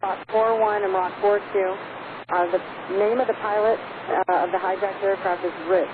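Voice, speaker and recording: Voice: medium pitch, fairly even intonation, normal speed. An air traffic controller speaking procedural radio phraseology in a matter-of-fact manner over a radio transmission. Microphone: aviation radio link recorded off a scanner. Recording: noisy narrow-band radio scanner audio.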